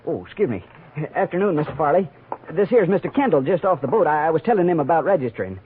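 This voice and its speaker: An actor playing a chatty, nosy frontier express clerk with an American accent and folksy, rambling talk in a 1950s radio western. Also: male voice, fairly high pitch, animated, fast, a little hiss or hum, natural voice. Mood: folksy, deferential. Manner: folksy, rambling, drawn to gossip. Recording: tinny, narrow old radio recording.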